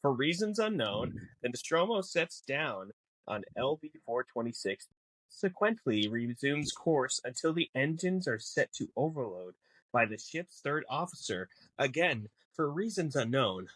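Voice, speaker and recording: A man with a mid-pitched, very animated delivery explains film lore as a podcast guest. He is casual and theatrical, slipping into mock exasperation. The sound is dry and close. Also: unhurried, no echo, rough recording.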